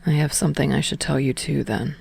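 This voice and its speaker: Woman doing a dry teasing young guy, low murmury voice, understated but fond, audiobook romance. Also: fairly even intonation, brisk, creaky, darkish tone, husky, dry recording, full character voice. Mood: fond, amused.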